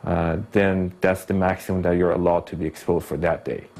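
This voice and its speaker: A man's voice: deep voice, little pitch movement, a little fast, a little mellow. A man giving a technical explanation as an expert interviewee.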